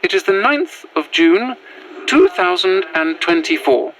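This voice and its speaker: A woman's voice: lowish voice, big pitch swings, a little slow, slight background noise, poor audio. A woman playing a prim Victorian widow revived in another's body, speaking formal old-fashioned in an audio drama. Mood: earnest, composed. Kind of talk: found-footage audio drama monologue.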